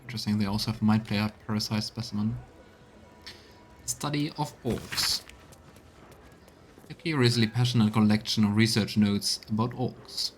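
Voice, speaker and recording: A man's voice: on the low side, fairly even intonation, normal speed, pauses often, slight background noise. A man doing let's play commentary as a game streamer, talking through the game and reading its texts aloud. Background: game music.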